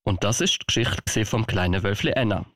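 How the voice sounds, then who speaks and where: A man's voice: fairly low pitch, quick pace, a bit bright, dry recording, clean studio sound. A man with a Swiss German accent playing a kindly, flustered old Santa Claus in an amateur radio play. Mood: warm storytelling.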